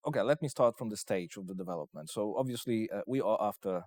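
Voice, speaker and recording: A man with a Polish accent giving interview answers as he pitches his investment company, in hesitant, piecemeal phrases. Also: fast talker, a little mellow, no echo, studio quality. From Poland.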